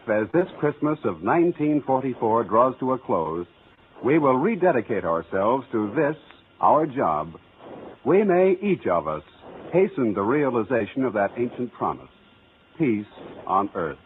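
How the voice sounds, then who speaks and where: A man's voice: mid-range voice, big pitch swings, a little slow. Male vintage radio announcer, earnest and oratorical, widely inflected delivery, narrow old-broadcast sound. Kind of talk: 1940s scripted radio announcing. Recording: narrow, faintly noisy vintage radio recording.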